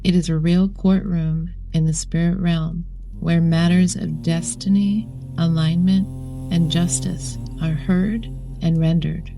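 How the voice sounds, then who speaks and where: Woman, meditation narrator, low-pitched, gravelly fry, solemn, measured, scripted delivery. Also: fairly even intonation, warm tone, rough recording. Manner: solemn, measured, deliberate phrasing. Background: background music.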